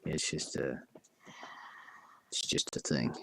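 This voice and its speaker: A man's voice: slow, slight background noise. A man lying beside the gamer, making laid-back side comments from away from the microphone. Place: bedroom, on a bed away from the mic.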